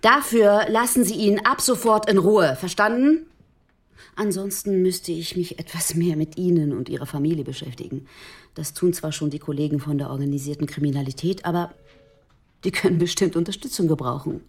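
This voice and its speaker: An actress playing a controlled, tough police detective around forty in a radio drama, cool, pointed and dry. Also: female voice, lowish voice, normal speed, breathy edge. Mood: quietly threatening. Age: 40s. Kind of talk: naturalistic German radio drama acting.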